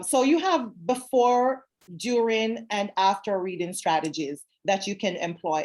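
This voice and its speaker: A woman's voice: mid-range voice, a little mellow. A woman teacher from Jamaica talks over a video call, her voice sitting in a middle register. She explains her classroom methods patiently and earnestly, often repeating key phrases for emphasis. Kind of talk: zoom talk answering questions.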